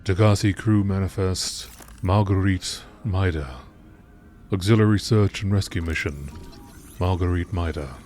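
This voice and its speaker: A man narrates scripted log entries in a formal, measured manner. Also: low voice, a little mellow, soft background music.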